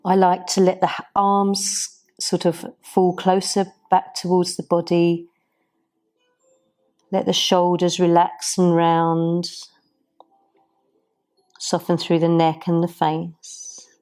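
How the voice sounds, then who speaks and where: A woman yoga teacher guides stretches and breathing in a soothing, unhurried manner. Her voice sits fairly low and has a warm, mellow tone. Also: level tone. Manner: soft, soothing, unhurried guidance. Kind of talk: guided yoga class instruction.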